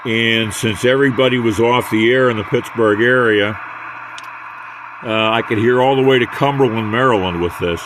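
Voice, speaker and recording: A man's voice: medium pitch, normal speed. Older American man, noisy recording. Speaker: radio hobbyist demonstrating equipment. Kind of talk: casual hands-on demonstration.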